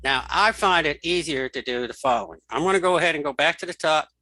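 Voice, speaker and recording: A man giving a casual, step-by-step software tutorial, informally narrating what he does as he goes. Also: on the high side, lively intonation, fast talker, no echo, rough recording. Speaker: tutorial host.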